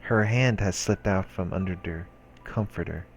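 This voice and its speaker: A man reading a visual novel aloud as a solemn, hushed narration. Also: deep voice, moderate pace, dull audio. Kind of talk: scripted narration read aloud. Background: game music.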